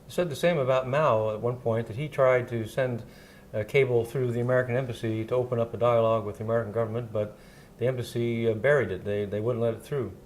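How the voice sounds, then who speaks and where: A man's voice: medium pitch, fairly even intonation, a little mellow, a little hiss or hum. A man interviewing an older journalist, prompting him and finishing his thoughts. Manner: brisk, prompting and filling in words. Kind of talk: interview questions.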